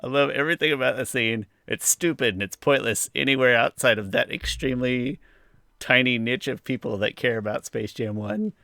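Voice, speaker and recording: A male podcast co-host with a bright, forceful voice and plenty of vocal fry. He chats casually and gives emphatic opinions with wry asides. The sound is close and dry. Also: medium pitch, a little fast, no echo.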